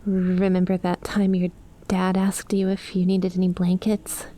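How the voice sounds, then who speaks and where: Slow-talking affectionate young snake-girl, acted by a woman. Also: fairly low pitch, completely flat delivery, mellow, lightly acted. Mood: cozy, fond. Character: young female lamia snake-girl, affectionate, shivering best friend. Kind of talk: ASMR roleplay acting.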